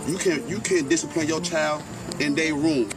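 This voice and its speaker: A man's voice: a little high, steady tone, moderate pace. A man, a father, talking earnestly and emphatically about his personal views. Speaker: parent. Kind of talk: earnest personal talk to camera. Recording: loud music, voice off mic. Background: loud hip hop music.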